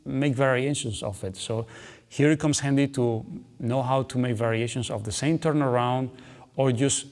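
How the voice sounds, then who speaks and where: A man, explaining guitar technique in a relaxed, chatty way, often ending phrases with "okay" and "you know". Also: mid-range voice, normal speed. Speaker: guitar teacher. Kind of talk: instructional explanation between playing. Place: dark studio, seated with guitar on lap. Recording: long reverb, guitar playing between phrases.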